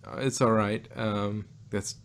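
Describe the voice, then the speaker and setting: A man's voice: fairly low pitch, level tone, takes it slowly. A man co-hosting a review podcast, chatting casually, joking and laughing often as he rambles. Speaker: podcast co-host reviewing an audio drama.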